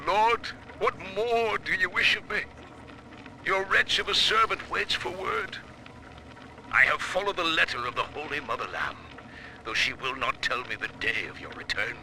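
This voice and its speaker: An actor playing a fanatical cult preacher in a video game, speaking in fervent, archaic biblical language. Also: male voice, fairly high pitch, lively intonation, moderate pace, background noise. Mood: anguished pleading. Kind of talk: theatrical video game voice acting.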